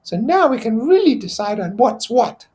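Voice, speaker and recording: Storybook schoolboy, played by a man: high, animated, insistent, indignant organizer with clumsy grammar. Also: normal speed. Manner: ungrammatical, insistent speech. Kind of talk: character voice in novel read-aloud.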